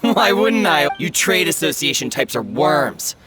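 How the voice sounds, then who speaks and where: Game villain: cocky bandit, rather high male voice, contemptuous, clearly noisy audio. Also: big pitch swings, a little slow. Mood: mocking. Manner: taunting, contemptuous. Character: sneering bandit thief, scornful and cocky. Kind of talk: video game voice acting.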